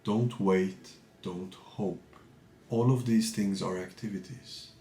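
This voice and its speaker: A man guiding a meditation as a teacher, calm and measured, speaking in short phrases with pauses between them. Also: deep voice, reverberant, a little hiss or hum. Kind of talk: guided meditation, unscripted spiritual talk.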